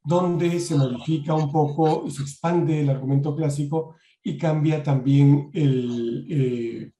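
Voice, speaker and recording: A man moderating an online academic seminar, speaking in a measured, polite way with frequent pauses. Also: fairly high pitch, steady tone, dark tone, roomy sound. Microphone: video call.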